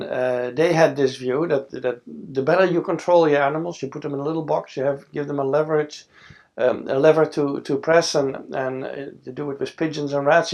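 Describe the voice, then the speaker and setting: A man's voice: a little high, vocal fry, darkish tone. A man in his sixties from the Netherlands with a Dutch accent, speaking thoughtfully in an oral history interview, with frequent fillers and restarts, recorded on a lapel mic in a studio. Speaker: interviewee, scientist. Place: studio with a backdrop.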